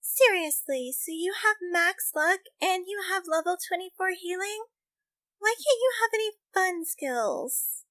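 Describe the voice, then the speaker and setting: A woman plays a teenage girl gamer in an audiobook drama with a very high voice. She is bubbly and impatient. Her delivery is emphatic, brash and playfully teasing. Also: expressive, measured pace, fairly bright tone, no room sound, heavily acted. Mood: teasing, exasperated. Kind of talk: audiobook character acting.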